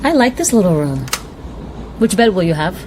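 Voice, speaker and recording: A woman playing a composed but fearful woman on the run in a radio drama, grave and measured. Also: sing-song, natural voice. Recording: noisy radio drama, train rumble behind.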